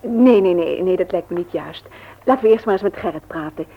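An actress playing a young woman visiting friends, lively but a little reserved, in a Dutch radio play. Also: on the low side, fairly quick, vocal fry, husky, exaggerated voice. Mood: reserved, sceptical. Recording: dull lo-fi old radio recording.

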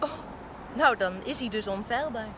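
An actress playing a sincere, hesitant young woman in love in a television drama, simple and gently questioning. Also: a little high, moderate pace, background noise, close to an everyday voice. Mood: tender, sincere. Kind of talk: 1970s Dutch television drama acting.

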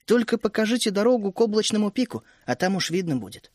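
A man voicing a quiet, earnest young hero in a storybook. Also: sits high, very animated, fast, dry recording, clean studio sound, voice pushed up.

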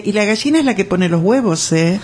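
A woman's voice: mid-range voice, animated, fairly quick, darkish tone, husky. An older woman with a Rioplatense accent, a co-host musing earnestly on a radio chat, with many tag questions. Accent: Rioplatense Spanish.

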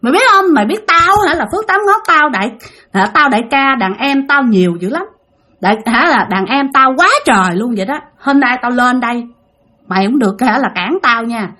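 A woman's voice: fairly high pitch, animated, fairly quick, bright, forceful tone. A woman voicing a cocky gangster ghost who has possessed a young woman, taunting in brash, defiant street talk. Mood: boastful, threatening.

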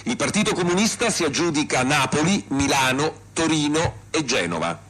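Animated formal male newsreader. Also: a little high, normal speed, a little hiss or hum. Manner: formal, declaiming. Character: formal 1970s newsreader announcing election results. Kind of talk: broadcast news announcement.

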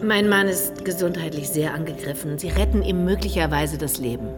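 An actress playing an older Russian exile's anxious, gentle and devoted wife in a radio drama, polite and soft-spoken. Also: female voice, fairly low pitch, brisk, music in the background, lightly acted. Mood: grateful.

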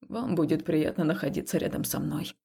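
A woman voicing a reserved, awkward male director in an audiobook, speaking in a hoarse, croaking near-whisper with a hesitant, earnest manner. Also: sits low, a little fast, mellow, pitched below the performer's own voice, full character voice. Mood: subdued, earnest. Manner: hesitant, subdued. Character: grown man, reserved director, awkward and earnest love interest. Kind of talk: audiobook character dialogue.

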